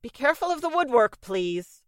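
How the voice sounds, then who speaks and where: Actress as prim, lively radio building manager. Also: female voice, high-pitched, moderate pace, dry recording, clean studio sound. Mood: polite, fussy. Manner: proper, polite, hesitant. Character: prim, fussy but trusting female building manager. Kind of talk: 1940s radio drama acting.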